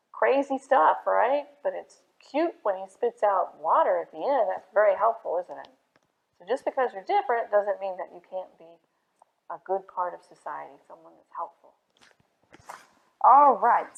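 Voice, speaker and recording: A woman reading children's stories and poems at a library storytime, expressive and putting questions to her young listeners. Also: mid-range voice, creaky, rough recording.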